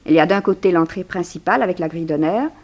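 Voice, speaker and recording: An actress in a 1960s French radio drama playing a poised, curious society journalist who speaks in an articulate, lively way. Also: female voice, fairly low pitch, quick pace. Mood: earnest, confiding.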